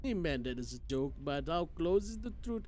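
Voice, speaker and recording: A man's voice: on the high side, sing-song, moderate pace, a little mellow. A man streaming a game, reading its narration aloud expressively with occasional stumbles and side comments.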